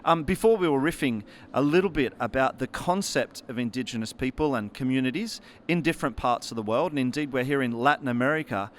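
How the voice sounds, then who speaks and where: A man's voice: mid-range voice, fairly quick, few pauses, slight background noise. A man moderating a panel, introducing guests and asking questions in a friendly, conversational way. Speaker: moderator, host.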